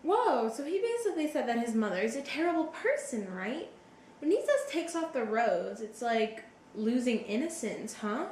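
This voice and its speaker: A woman's voice: on the high side, sing-song, moderate pace, darkish tone. A woman playing a curious, blunt younger sibling who reacts to a play in casual, informal chat. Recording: roomy echo, faint background noise.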